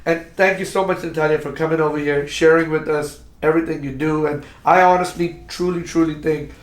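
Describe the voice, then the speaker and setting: A man's voice: fairly high pitch, fairly even intonation, fairly quick. A man from Pakistan hosting a casual interview podcast, often repeating words and restarting his sentences. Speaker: podcast host. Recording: echoey room.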